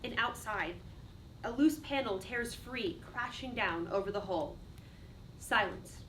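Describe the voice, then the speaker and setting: A woman's voice: fairly high pitch, measured pace, fairly bright tone. Woman narrating a play, slightly distant. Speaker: narrator reading stage directions. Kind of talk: scripted dramatic narration. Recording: distant mic, faint background noise.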